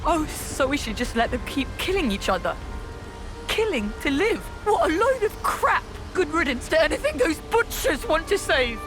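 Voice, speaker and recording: A woman's voice: high-pitched, sing-song, moderate pace, rough recording. An actress playing a bitter, defiant young woman, a devoted believer, with vehement emotion in a video game drama. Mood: angry. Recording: voice over loud music.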